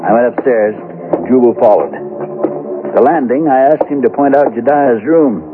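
An actor plays a plain-spoken Western lawman in an old-time radio drama. He is dogged and folksy, with a medium-pitched voice. The broadcast sounds narrow and tinny, with music underneath. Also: expressive, moderate pace, close to an everyday voice. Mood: brooding.

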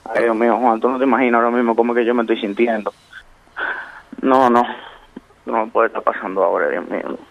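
A man from New York with a Dominican accent, speaking haltingly and pleadingly in an unscripted phone conversation. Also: medium pitch, steady tone, gravelly, dry recording. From Queens, New York, of Dominican parents. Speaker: prank call victim, security worker. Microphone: telephone. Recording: dry, dull phone call.

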